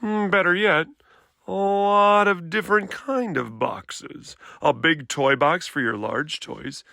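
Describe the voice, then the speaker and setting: A man plays a storybook papa bear, firm but reasonable. His voice is bright with some creak, and he explains in a measured manner. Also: high-pitched, no echo, clean studio sound, voice pushed up, heavily acted. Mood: encouraging.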